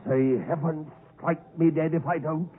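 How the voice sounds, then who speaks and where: An actor playing a fierce, desperate escaped convict in chains, threatening and commanding, in 1950s radio drama style. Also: male voice, fairly high pitch, fairly even intonation, measured pace, close to an everyday voice. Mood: menacing. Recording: tinny, noisy 1950s radio broadcast.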